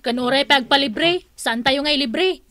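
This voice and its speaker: A woman's voice: high-pitched, normal speed, bright, forceful tone. A woman with an Ilocano accent playing a blunt, fed-up co-worker in a Philippine radio drama, chiding and mocking. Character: blunt, fed-up female co-worker owed money.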